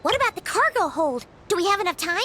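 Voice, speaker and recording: A woman voicing a small, excitable, chatty fairy-like girl companion in a video game, with a squeaky, childlike voice and chirpy, exclamatory delivery. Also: fast talker, heavily acted. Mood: eager, relieved. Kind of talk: English video game voice acting.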